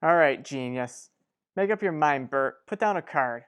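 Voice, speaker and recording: A man acts a young husband in a radio soap. He has a mid-pitched voice and a wry, slightly impatient way of talking. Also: lively intonation, unhurried, a bit bright, clean tone. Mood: teasing, impatient. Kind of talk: radio soap drama acting.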